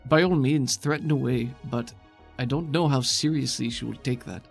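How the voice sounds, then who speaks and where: A man's voice: medium pitch, vocal fry, faint music behind, natural voice. Man playing a dry, skeptical plant-woman scientist. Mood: cautious, measured. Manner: formal, measured, avoids contractions. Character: skeptical vine-legged female plant-being scientist, dry and wary.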